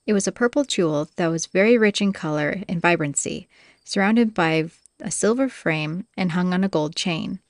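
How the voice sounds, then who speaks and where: A woman's voice: on the low side, normal speed, dry recording. Dry, close-recorded woman narrating. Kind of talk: scripted audiobook narration.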